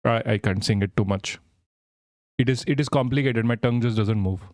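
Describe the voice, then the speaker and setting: A man chatting on a live stream, rambling, swearing and joking as he answers his chat. His voice is smooth and clear at a middle pitch. The sound is dry and close on a desk mic. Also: fairly quick, darkish tone, no echo. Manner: rambling, profane, jokey, reads chat aloud.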